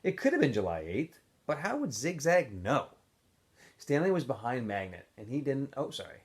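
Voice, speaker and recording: Animated man reading a storybook aloud. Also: medium pitch, moderate pace. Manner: animated, acts out dialogue in shifting voices. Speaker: teacher reading a book aloud to students. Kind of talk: expressive storybook narration.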